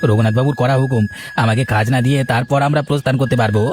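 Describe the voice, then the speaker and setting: A man's voice: medium pitch, fairly even intonation, very fast, over music, natural voice. A man playing a humble, aggrieved young peasant farmer in a cartoon, earnest and pleading. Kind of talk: Bengali cartoon dialogue acting.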